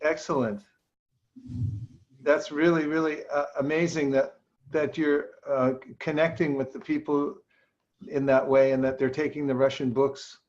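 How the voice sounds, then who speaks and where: A man speaking to a group as a spiritual teacher, halting and repetitive, with many restarts. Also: on the high side, level tone, a little mellow, muffled recording. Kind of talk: warm encouragement and questions to a group.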